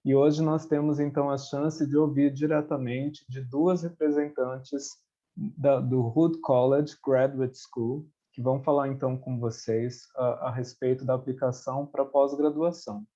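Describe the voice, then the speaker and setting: A man with a Brazilian accent who advisees students, hosting and introducing speakers on a webinar in a friendly, halting way. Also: mid-range voice, steady tone, dark tone. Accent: Brazilian Portuguese. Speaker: webinar host, education advisor. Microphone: video call. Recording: dull lo-fi webinar audio.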